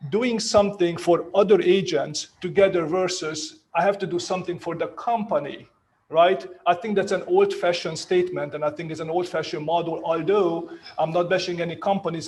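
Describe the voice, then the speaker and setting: A man's voice: a little high, a little mellow, slight background noise. A man in his fifties with a Hungarian accent, telling his career story casually on a panel and often adding "right?". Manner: chatty, self-mocking, leans on "right?" tags. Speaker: real estate agent on a panel.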